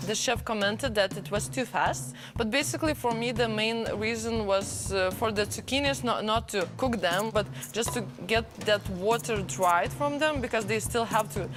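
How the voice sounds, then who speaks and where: A woman's voice: fairly high pitch, normal speed, hardly any pauses. A young woman, earnestly explaining her cooking as a contestant. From Lithuania. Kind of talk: reality show interview. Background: background music.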